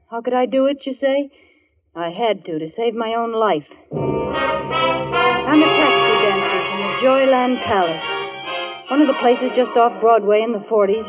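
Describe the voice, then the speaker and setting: A woman's voice: fairly high pitch, natural voice. A streetwise taxi dancer acted by a woman tells her story in a wry, hardboiled way, in old radio thriller style. The recording is dull and dated, with music behind.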